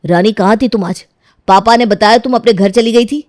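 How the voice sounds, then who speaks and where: A woman's voice: fairly high pitch, normal speed, darkish tone, no echo, studio quality, close to an everyday voice. A woman voicing a stern, suspicious young husband in a moral story, scolding and questioning. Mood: angry, suspicious.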